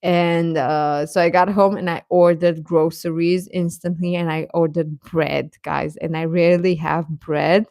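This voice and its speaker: A woman's voice: on the low side, level tone, measured pace, few pauses, darkish tone, dry recording, clean studio sound. A woman chatting casually as a livestream host, talking directly to viewers and often repeating her phrases.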